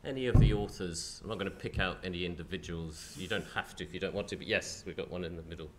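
A man with a cold, chairing a panel discussion in a lecture hall, speaking in a croaky, hesitant, measured way. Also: low-pitched, a little hiss or hum.

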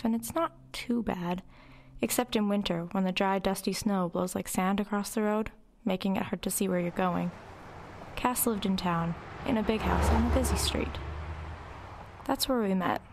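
A woman's voice: medium pitch, moderate pace, vocal fry, a little hiss or hum, lightly acted. A woman playing a wry, reflective narrator recalling her troubled girlhood in a confiding first-person dramatic narration.

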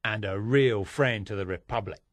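A woman's voice: very deep voice, no echo, pitched below the performer's own voice. A woman voicing a solemn, bloodthirsty male revolutionary in a storybook reading, grim and gloating. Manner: solemn, slow, eager for blood.

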